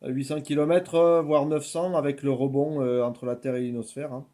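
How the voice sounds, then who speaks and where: A man's voice: medium pitch, steady tone, moderate pace, mellow. A man working as an amateur radio operator, chatting casually and repeating himself with frequent tag words. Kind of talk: casual radio contact chat.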